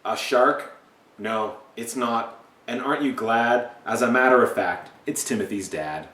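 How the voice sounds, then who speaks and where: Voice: mid-range voice, a little slow. Playful storyteller in an echoey room. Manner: animated, playful, dramatic emphasis. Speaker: read-aloud storyteller. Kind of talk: expressive picture-book reading with chatty asides. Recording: echoey room, distant mic.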